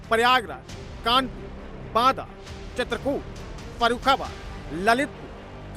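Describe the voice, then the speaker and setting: A man's voice: very high voice, pushed and bright. A man narrating a television news report from a script in an emphatic, dramatic, rhetorical style.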